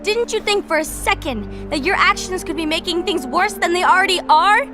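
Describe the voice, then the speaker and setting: A woman's voice: very high-pitched, moderate pace. An actress voicing an anxious, protective young woman in a video game cutscene, with music behind. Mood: worried. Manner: soft, worried. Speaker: game character.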